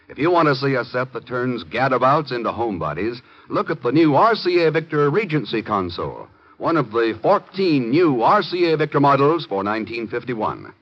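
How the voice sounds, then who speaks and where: A man working as a 1950s radio announcer, reading credits and advertising in a polished, emphatic style. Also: mid-range voice. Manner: polished, emphatic sales delivery. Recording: muffled 1950s radio broadcast.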